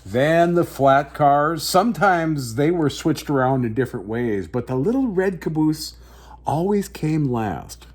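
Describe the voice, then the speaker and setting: A man's voice: on the high side, lively intonation, unhurried, husky. A man hosting story time, reading a picture book aloud with dramatic phrasing and talking directly to young listeners. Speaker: children's story reader, host.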